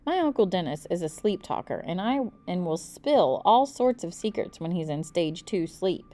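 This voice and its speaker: A woman reading a children's book aloud expressively, with deliberate, dramatic emphasis. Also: lowish voice, expressive, mellow. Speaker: storybook reader.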